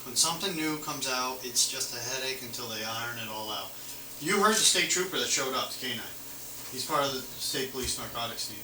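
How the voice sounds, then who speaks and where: Male police officer on noisy, distant, echoey audio. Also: mid-range voice. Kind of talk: casual roadside conversation explaining the law.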